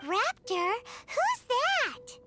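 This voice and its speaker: A woman voicing a tiny, cute, excitable fairy companion with a squeaky, childlike voice in a fantasy video game. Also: extremely slow, exaggerated voice. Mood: startled, curious. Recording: game music behind the voice.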